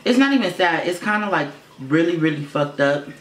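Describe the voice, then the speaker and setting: A man's voice: expressive, a little fast. A young man rambling casually through a storytime vlog, with lots of fillers and swearing; he says his voice sounds like a girl's. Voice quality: voice he calls low for a boy, sounding like a girl's. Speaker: vlogger. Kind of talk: casual storytime monologue to camera. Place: room at home. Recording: roomy echo with faint filter hum. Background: fish tank filter hum.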